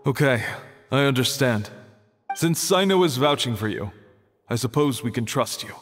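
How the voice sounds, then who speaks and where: An actor voicing a reserved, cautious archive keeper in a video game, formal and guarded in manner. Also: male voice, medium pitch, expressive, normal speed, faint music behind, close to an everyday voice. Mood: guarded, sincere. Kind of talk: scripted video game dialogue.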